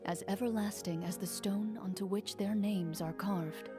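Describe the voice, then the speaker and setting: A woman's voice: mid-range voice, steady tone, normal speed, close to an everyday voice. A woman voicing a solemn storyteller who narrates a video game quest. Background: game music.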